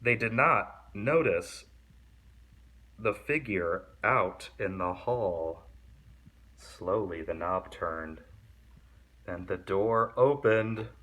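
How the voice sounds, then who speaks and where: A man reading a children's story aloud in an expressive, animated and deliberate way. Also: fairly low pitch, poor audio. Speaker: storybook reader.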